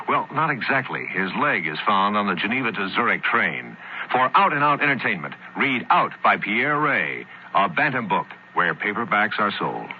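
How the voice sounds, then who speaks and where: Male ad announcer, low and animated, dramatic scripted read, dull vintage radio sound. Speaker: advertisement announcer. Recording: dull old radio, faint noise.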